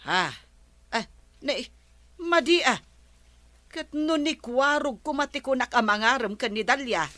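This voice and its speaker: Bright-voiced Ilocano village wife. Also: female voice, sits high, expressive, a little slow, pauses often, no echo, exaggerated voice. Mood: eager, gossipy. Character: eager village wife and matchmaking mother. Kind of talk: Ilocano comedy radio drama acting.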